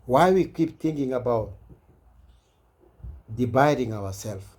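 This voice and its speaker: Mellow African man making an earnest appeal. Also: mid-range voice, expressive, takes it slowly. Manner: earnest, exhorting, repetitive. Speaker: speaker addressing online viewers. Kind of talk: impassioned informal speech to camera.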